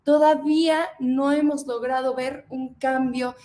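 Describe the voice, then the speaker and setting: A woman's voice: high voice, fairly even intonation, unhurried, mellow. A Mexican woman in her twenties, a federal deputy, speaking emphatically in a political interview and addressing the audience. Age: 20s.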